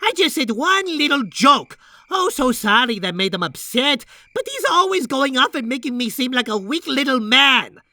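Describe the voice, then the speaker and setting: A man voicing a bright, nervous, stammering cartoon young man. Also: very high-pitched, big pitch swings, moderate pace, no room sound, full character voice. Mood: defensive. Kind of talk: fan comic dub voice acting.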